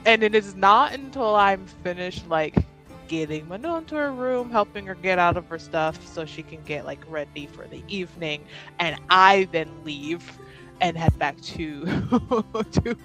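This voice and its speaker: A woman casually narrating her character's actions as a player in a tabletop roleplaying game, in a halting, giggly way. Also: lowish voice, fairly bright tone, low-quality recording.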